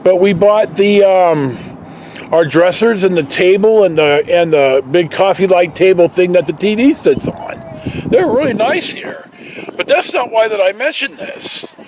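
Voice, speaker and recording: A middle-aged man telling a casual anecdote in a rambling, halting way, often repeating words and losing his thread. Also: high-pitched, darkish tone. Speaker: storytelling vlogger, former alarm company guard. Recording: clear outdoor noise, passing traffic.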